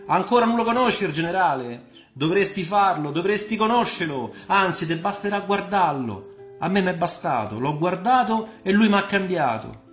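Man acting a cocky young Roman lad, high-pitched. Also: big pitch swings, normal speed, a bit bright, roomy sound, faint music behind. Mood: teasing. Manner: bantering, ironic, colloquial.

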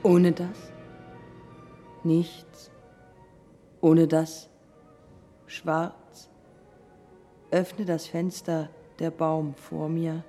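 An actress playing a defiant, haunted early woman pilot in a German radio play, speaking in terse, deliberate fragments. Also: low voice, little pitch movement, dark tone, soft background music. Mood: dark, haunted. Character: pioneer woman aviator, haunted, defiant, morphine-addicted.

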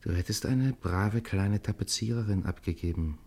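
Radio play casting: worldly, weary dramatist, low-voiced, resigned, quietly cynical. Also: male voice, moderate pace. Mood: weary, irritated. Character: weary, worldly male playwright and troupe leader. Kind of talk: intimate German radio play acting.

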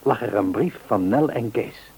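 A man's voice: lowish voice, expressive, a little slow, dark tone, husky, exaggerated voice, rough recording. An actor playing an affectionate middle-aged husband and father in a radio play, reassuring and given to repeating short words. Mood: confiding.

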